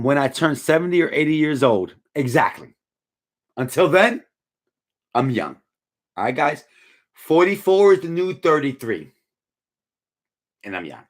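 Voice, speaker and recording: A tired man in his forties talks loosely through a live stream, his husky voice swinging up and down as he reads out comments. He sounds laid-back and slangy, yawning through it all. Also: mid-range voice, measured pace, pauses often. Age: 40s. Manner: laid-back slangy, drifts from mumbling to excited. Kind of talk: casual live chat reading comments.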